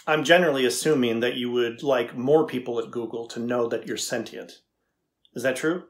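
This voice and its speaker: A man's voice: mid-range voice, normal speed, lightly acted. A middle-aged man playing a curious, skeptical tech engineer interviewing an AI in a scripted dramatization, matter-of-fact and inquisitive. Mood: polite, businesslike. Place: indoors, plain backdrop.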